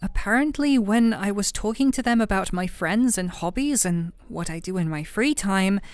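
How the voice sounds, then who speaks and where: A woman's voice: mid-range voice, clean tone, no room sound. Woman playing a flustered girl best friend with a crush, kinda teasing, hesitant, takes her time, dry intimate roleplay audio. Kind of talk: intimate roleplay audio drama, one-sided conversation.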